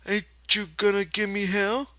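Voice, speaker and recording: A man voicing a simple-minded giant farmhand who pleads in a high, strained wail with a rural drawl. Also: little pitch movement, measured pace, pushed and bright, no echo. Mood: pleading.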